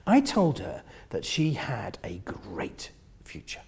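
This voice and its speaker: A man with a husky voice reading first-person literary fiction in an expressive, theatrical manner, often hushing the ends of phrases. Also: medium pitch, takes it slowly, whispered. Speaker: reader of a novel.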